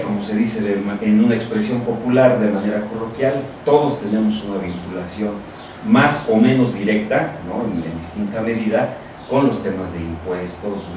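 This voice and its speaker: A man's voice: lowish voice, a little mellow. A man with a Mexican accent giving conversational commentary as a radio host, discursive and often checking for agreement. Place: small radio studio, indoors. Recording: echoey, distant, noticeable background noise.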